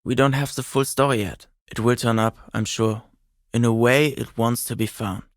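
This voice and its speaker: An actor voicing an earnest, pedantic young communist intellectual for a video game, bookish, precise and self-serious. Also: male voice, mid-range voice, steady tone, moderate pace, dry recording, studio quality, close to an everyday voice. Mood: thoughtful. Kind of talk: video game character voice lines.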